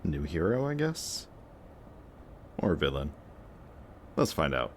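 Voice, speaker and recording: A very deep, slow-talking young man acting as a sardonic villain from an audio drama. He is cocky and teasing, with muttered casual asides and a kinder streak underneath. Faint noise sits behind the voice. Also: big pitch swings, heavily acted. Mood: grumbling, bored. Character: cocky male antihero villain, sarcastic, teasing, secretly kind.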